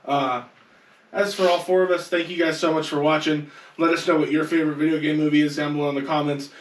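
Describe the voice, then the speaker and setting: Casual male podcast co-host, voice a touch high, with faint background noise and a slightly distant mic. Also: little pitch movement, fast, reverberant. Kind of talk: casual panel chat.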